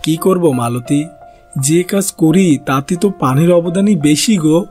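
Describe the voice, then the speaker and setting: A man voicing a humble, earnest village potter in a cartoon, gentle and resigned. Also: on the high side, unhurried, soft background music, natural voice.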